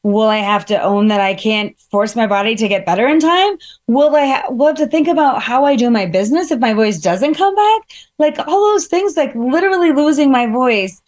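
A woman in casual conversation, her somewhat high voice carrying a slight harshness. She thinks aloud, building points through everyday examples. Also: animated, moderate pace, a little mellow. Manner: reflective, gives examples, many fillers. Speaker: guest speaker.

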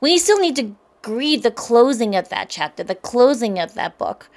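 A woman's voice: fairly high pitch, sing-song, normal speed. An American woman hosting a candid, chatty interview show, speaking expressively and directly to her audience. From grew up in the United States. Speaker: show host.

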